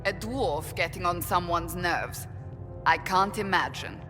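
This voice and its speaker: A cool, learned companion voiced by a woman in game dialogue. She is dry and exact, slightly low in pitch, with music behind. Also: measured pace, lightly acted. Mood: dry sarcasm.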